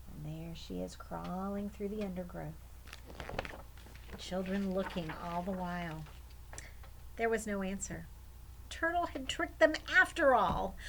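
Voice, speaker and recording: Animated woman reading a children's story. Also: mid-range voice, gravelly, slight background noise, rough recording. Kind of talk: expressive children's story reading with chatty asides.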